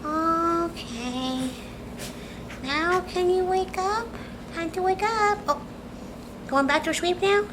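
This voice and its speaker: A woman talking baby talk to her pet dog, cooing and singsong with drawn-out words. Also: very high voice, noisy background.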